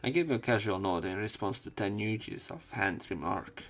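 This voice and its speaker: A man's voice: on the low side, lively intonation, normal speed, gravelly, a bit bright. A man reading a visual novel aloud as a let's play commentator, with casual, offhand remarks.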